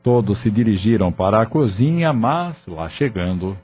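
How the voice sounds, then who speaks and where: A man's voice: lowish voice, lively intonation, normal speed, breathy edge, highs cut off. A Brazilian man reading scripted narration for an audio drama. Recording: band-limited recording with music bed. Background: music.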